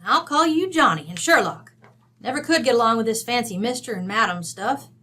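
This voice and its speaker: A woman's voice: fairly high pitch, expressive, brisk, pushed and bright. A woman playing a brash, folksy, middle-aged rancher widow with an American West accent, blunt, bossy and slangy, in an old-time radio drama. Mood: brisk, hearty.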